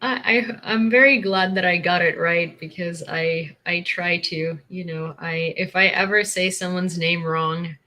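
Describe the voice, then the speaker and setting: A woman's voice: fairly low pitch, steady tone, a little slow, few pauses. A young woman from Ukraine, Ukrainian native, with an American accent, chatting casually on a livestream and laughing often. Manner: chatty, laughs often, thanks viewers warmly. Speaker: livestream host.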